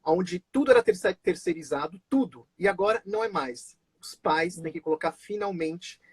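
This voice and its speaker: Man, host, raised in Brazil, high husky voice, casual chat, video call. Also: lively intonation, moderate pace, no echo. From born in Israel, raised in Brazil. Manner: chatty, many fillers and restarts, laughs often. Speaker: host of a live stream. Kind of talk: casual live-stream conversation.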